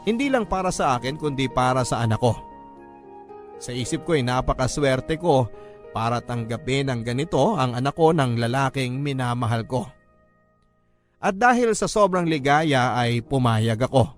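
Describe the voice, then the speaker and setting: A man's voice: medium pitch, expressive, moderate pace. A man with a Filipino accent reading a letter as dramatic storytelling narration. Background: background music.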